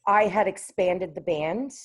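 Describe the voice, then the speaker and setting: A woman with a low contralto voice, a singer-songwriter talking casually in an interview, often pausing with fillers and restarting her sentences. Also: breathy edge. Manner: hesitant, with many fillers and restarts.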